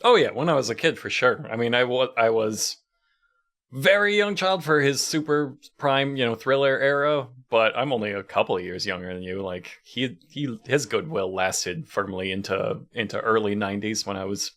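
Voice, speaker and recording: A man's voice: medium pitch, normal speed, fairly bright tone. A man co-hosting a comedy podcast, bantering casually with dry, incredulous quips.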